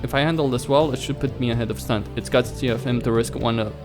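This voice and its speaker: A man's voice: medium pitch, steady tone, fast talker. Man reading game story over music. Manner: stumbles over words while reading. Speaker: let's play host. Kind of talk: reading a visual novel aloud with reactions. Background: background music.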